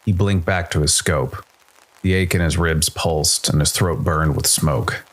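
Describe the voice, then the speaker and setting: Low-voiced man narrating a space noir story. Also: fairly even intonation, unhurried. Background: faint music.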